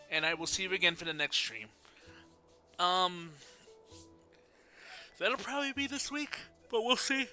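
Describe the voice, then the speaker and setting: A man's voice: a little high, sharp tone. A man hosting a casual game livestream, chatting and reading story text aloud. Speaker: video game streamer.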